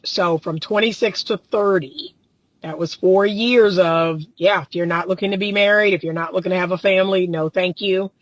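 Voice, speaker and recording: A woman's voice: lowish voice, no echo, low-quality recording. A woman in her thirties, a relationship coach, talking bluntly and casually from her car, reading viewer comments, laughing often. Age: 30s.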